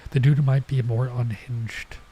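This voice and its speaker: Man, game commentary, nasal stuffy voice, mellow, husky, rambling. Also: mid-range voice, steady tone, measured pace. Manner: rambling, chatty asides. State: coming down with a cold. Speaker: streamer. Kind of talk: casual let's play commentary.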